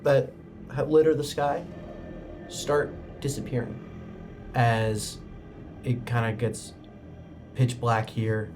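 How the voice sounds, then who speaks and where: A man running a tabletop roleplaying game as game master, setting scenes in a dramatic, halting way. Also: mid-range voice, noisy background. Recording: background music behind the voice. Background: music.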